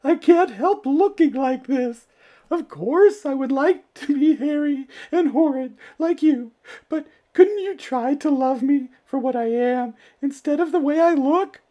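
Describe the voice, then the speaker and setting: A man's voice: extremely high pitch, unhurried, warm tone, voice pushed up. A man voicing a tearful young girl in a children's storybook, wailing and pleading.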